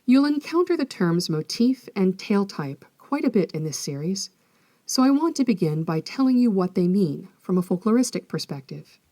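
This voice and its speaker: A woman hosting an educational podcast, reading scripted narration in a measured, articulate, explanatory manner. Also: medium pitch, dark tone, dry recording, clean studio sound. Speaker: podcast host, folklorist.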